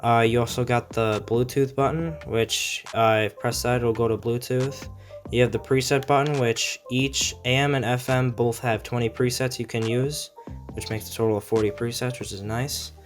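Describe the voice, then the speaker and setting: A man's voice: medium pitch, steady tone, normal speed, faint music behind. A man giving a casual, unscripted product review in a rambling, matter-of-fact way. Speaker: video creator reviewing gadgets.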